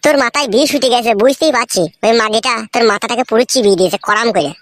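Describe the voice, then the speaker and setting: Man doing a squeaky, fast-scolding cartoon buddy. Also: background noise. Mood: fed up. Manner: foul-mouthed, hectoring. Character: scolding, exasperated young male friend. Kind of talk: crude comedy cartoon dialogue.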